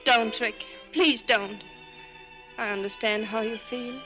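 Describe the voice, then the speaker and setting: A woman's voice: a little high, fairly even intonation, slow, bright, forceful tone, soft background music. An actress playing an earnest, anguished young woman torn between two loves, pleading carefully in 1940s radio style. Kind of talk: 1940s radio drama acting. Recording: dull vintage radio broadcast.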